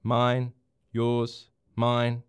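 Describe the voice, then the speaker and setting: A man voicing a big, smug, hot-tempered schoolboy bully in a storybook, loud and domineering. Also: medium pitch, monotone, extremely slow, clear, resonant tone. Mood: smug, dismissive.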